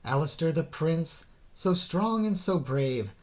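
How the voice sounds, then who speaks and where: A man reads a rhyming children's tale as a storybook narrator. His delivery is theatrical and deliberate, with a slow pace and drawn-out phrase endings. The pitch swings widely as he goes. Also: lively intonation. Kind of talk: dramatic scripted children's story narration in rhyme.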